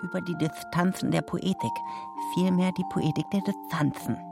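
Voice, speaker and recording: A solemn hare lecturing like a literary theorist in a radio play. The voice is creaky and rather low, precise and pedantic, with music clearly behind it. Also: female voice, normal speed.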